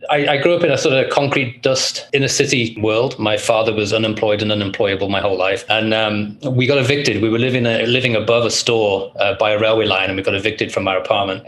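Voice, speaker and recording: A mature British man talks at a fast clip in a fairly low voice. He speaks as an interview guest, spinning fluent, conversational anecdotes about his own life. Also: a bit bright, poor audio. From Liverpool, England and North Wales. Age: 50s or older. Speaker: interview guest, entrepreneur and author. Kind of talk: conversational personal storytelling.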